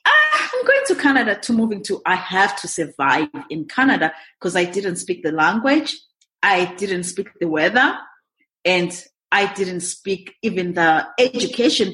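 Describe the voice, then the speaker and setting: A woman from Zimbabwe, a guest on an interview podcast, telling her story in a lively way and acting out her own past thoughts. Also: on the low side, normal speed, low-quality recording. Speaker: guest, real estate investor. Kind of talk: interview answers.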